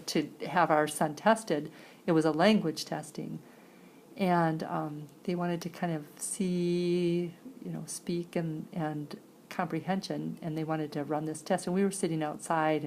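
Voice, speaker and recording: A woman telling a personal story conversationally, in halting phrases, with a creaky voice. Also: low-pitched, a little mellow, a little hiss or hum. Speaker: sign-to-voice interpreter.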